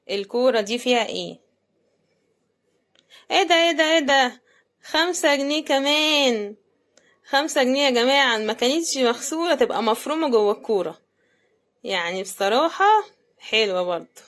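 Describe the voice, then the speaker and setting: Casting: Egyptian woman, fairly high voice, conversational unboxing host with a casual, thinking-aloud delivery. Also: animated, moderate pace, long pauses, fairly bright tone. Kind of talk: casual running commentary while unboxing.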